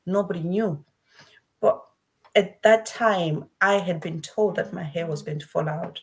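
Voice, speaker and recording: A woman's voice: on the low side, measured pace. A middle-aged woman from Zimbabwe telling her own story in earnest, with frequent restarts. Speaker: guest speaker. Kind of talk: personal testimony.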